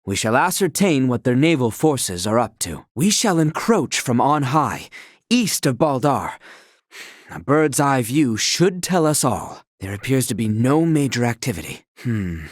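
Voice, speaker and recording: A man's voice: medium pitch, very animated, a little slow, dry recording, clean studio sound. A man voicing a loyal, duty-bound young knight in a video game, speaking in a formal, stately, earnest way. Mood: measured, serious. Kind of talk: video game voice acting, formal archaic dialogue.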